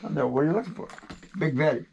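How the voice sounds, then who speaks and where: A man chatting casually and telling anecdotes in an animated way, like a hobbyist showing off a collection. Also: on the high side, racing, dull audio, a little hiss or hum. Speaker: CB radio collector. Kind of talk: casual storytelling and chat.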